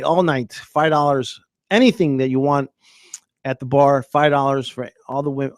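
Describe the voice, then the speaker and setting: A man's voice: medium pitch, no echo. A man hosting a casual sports radio show, chatting loosely with fillers and reading ads live.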